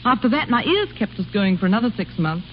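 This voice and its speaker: An impatient, aristocratic duchess played by a woman in 1930s radio drama style. She speaks very quickly and with command. The old recording is dull, with some noise. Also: mid-range voice, very animated, natural voice. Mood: exasperated, firm. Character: imperious, impatient impoverished exiled Grand Duchess.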